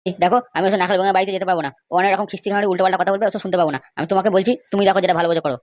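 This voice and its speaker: A man's voice: high-pitched, fairly even intonation, very fast, no echo, close to an everyday voice. A man with a West Bengal accent voicing argumentative, indignant men in an amateur comedy cartoon, scolding and insistent. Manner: scolding, insistent, rhetorical questions.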